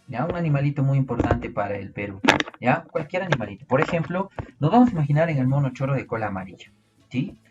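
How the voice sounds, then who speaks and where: A Peruvian man lectures his students in a slightly husky voice, walking them through a lesson and tossing out questions. The recording sounds dull and lo-fi, with faint music underneath. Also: mid-range voice. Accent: Peruvian Spanish. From Peru. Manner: explanatory, asks rhetorical questions to students. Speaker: biology teacher. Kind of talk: online class lecture.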